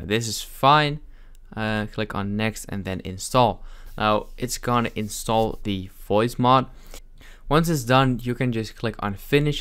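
A man narrating a casual software tutorial as its host, speaking off the cuff with frequent hesitations. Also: fairly low pitch, smooth.

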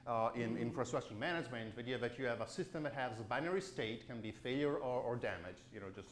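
A man in his forties giving a conference keynote lecture, halting with many fillers and restarts, in a chalkboard lecture room. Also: mid-range voice, roomy sound, slight background noise. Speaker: keynote speaker.

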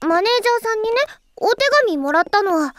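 Very high, cutesy anime girl. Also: animated, fast, fairly bright tone, no echo, clean studio sound. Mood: sweet, cheerful. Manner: cutesy, childlike, casual. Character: sweet, easygoing, playful little teenage girl idol. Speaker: voice actress in character. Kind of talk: cute anime audio drama dialogue.

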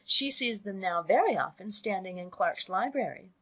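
A woman voicing a brash, excitable teenage girl in a storybook, eager and exclaiming. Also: mid-range voice, normal speed, slightly rough.

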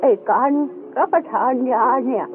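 A woman's voice: sits high, very animated, normal speed. A woman playing a kindly elderly grandparent who patiently explains things in a folksy, instructive way in an educational radio drama. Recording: tinny band-limited audio with music behind.

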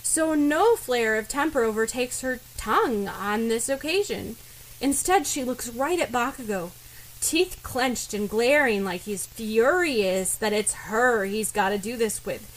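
A woman's voice: a little high, unhurried, poor audio. A woman reading fan fiction aloud as scripted story narration. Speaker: fan fiction podfic reader.

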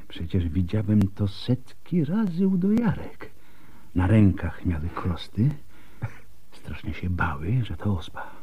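An actor playing a tipsy, wry and animated physician in a radio drama, with a husky voice and a theatrical delivery. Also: male voice, deep voice, highs cut off, a little hiss or hum, full character voice. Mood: musing.

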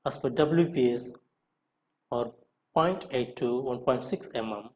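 Man, medium-pitched voice, instructor, scripted quiz-style narration, deliberate reading of questions and short answers.

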